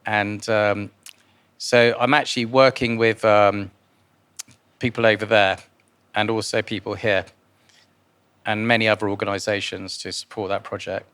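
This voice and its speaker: A man born in Guyana answers interview questions informally, in a halting way with repeated words. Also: fairly low pitch, little pitch movement, a bit bright, clean tone. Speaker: interviewee, philanthropist and investor.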